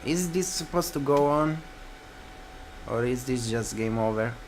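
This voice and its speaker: A man's voice: medium pitch, unhurried, slight background noise. A man reading a visual novel aloud and commenting casually as a gaming host, with guitar music behind him. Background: plucked guitar music.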